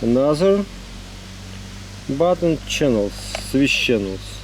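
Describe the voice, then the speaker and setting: Halting man, slow and noisy recording. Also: medium pitch, big pitch swings. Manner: halting, choppy phrasing. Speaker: product reviewer. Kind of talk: casual hands-on product demo. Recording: noisy, vehicle and ambient background noise.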